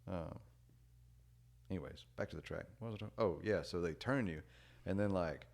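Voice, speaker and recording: A young man chatting casually as a podcast guest, rambling with lots of "like" and "dude" and letting his sentences trail off quietly. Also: sits low, brisk, gravelly. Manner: rambling, many "like" and "dude" fillers; relaxed, trails off quietly at ends of thoughts. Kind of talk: casual storytelling chat.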